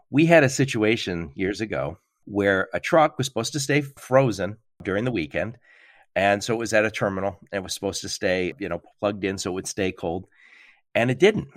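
A man's voice: sits low, no echo. Middle-aged male host, dry close sound. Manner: storytelling, animated, chuckling. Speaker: podcast host. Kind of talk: casual podcast interview.

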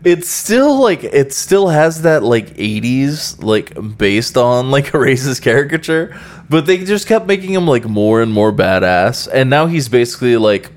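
A man co-hosting a podcast in casual, rambling chat, full of fillers and casual swearing. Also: mid-range voice. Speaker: podcast co-host.

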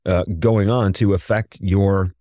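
A middle-aged man co-hosting a podcast, his voice raspy today, reflecting in casual chat and searching for words. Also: low voice, steady tone, unhurried, dark tone, no echo, clean studio sound. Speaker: podcast co-host.

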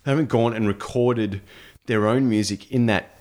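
A man's voice: fairly low pitch, moderate pace, darkish tone. A man co-hosting a podcast in casual chat, talking with enthusiasm and swearing freely. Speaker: podcast co-host. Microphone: podcast microphone on a stand.

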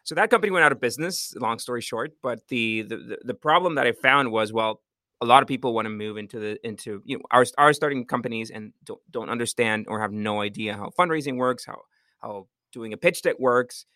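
A man's voice: medium pitch, brisk, pushed and bright, no echo, clean studio sound. A man from Costa Rica, a startup founder, answering interview questions in a rambling, self-correcting way and laughing often.

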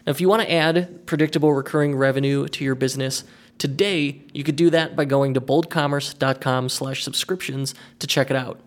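Energetic podcast host guy, voice on the higher side, reads ads and chats. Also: vocal fry. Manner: upbeat, energetic. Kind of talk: read ad spots and casual interview.

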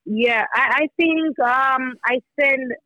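A woman's voice: sits high, takes it slowly, bright, forceful tone, no room sound, muffled recording. A young woman musician talking casually as a podcast guest, full of fillers and restarts. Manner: rambling, filler-heavy, many restarts.

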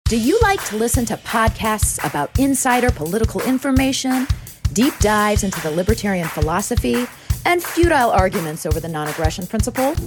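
A woman's voice: mid-range voice, very animated, brisk. A woman podcast host reading a scripted promo for a show. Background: loud music with guitar.

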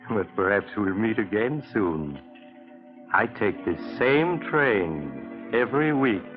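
A tinny 1940s radio recording with some music behind. a low-voiced American storyteller, grave and mysterious, telling a crime tale in a measured, ominous way. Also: male voice, lively intonation. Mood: sardonic, ominous. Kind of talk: 1940s radio acting, suspense narration.

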